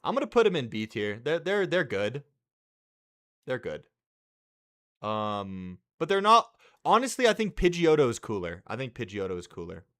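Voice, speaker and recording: Excitable young streamer guy, lively voice. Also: medium pitch, normal speed, pauses often. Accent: American. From California, US. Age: 20s. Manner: excitable, slangy, swears, says "bro"; reads and answers chat messages. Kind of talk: casual live-stream chat.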